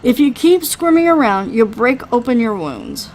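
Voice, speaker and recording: Woman playing a male healer, calm, measured, dry, explanatory, visual novel dialogue, faint background noise. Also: on the high side. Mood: calm, firm. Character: calm, matter-of-fact male healer, dryly reassuring.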